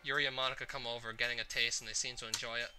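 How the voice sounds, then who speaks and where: A man's voice: mid-range voice, level tone, racing, bright, forceful tone, soft background music. A man hosting a gaming video, commenting on a visual novel and reading its text aloud.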